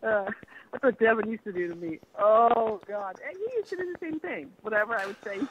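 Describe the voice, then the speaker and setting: A woman's voice: a little high, animated, normal speed. A middle-aged woman chatting playfully and casually on a phone call. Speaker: mother taking a phone call. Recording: phone call with faint noise.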